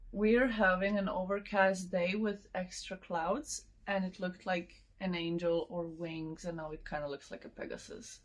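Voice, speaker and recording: A woman's voice: mid-range voice, fairly bright tone. A young woman who is not a native English speaker, giving a casual spiritual oracle reading in a meandering way and laughing often between thoughts. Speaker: tarot and oracle card reader.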